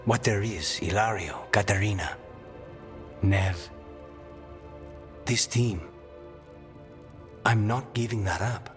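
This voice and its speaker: Weary assassin leader, very deep, understated, dry, loyal, video game dialogue, soft music underneath. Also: male voice, slow, pauses often. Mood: weary, resolute.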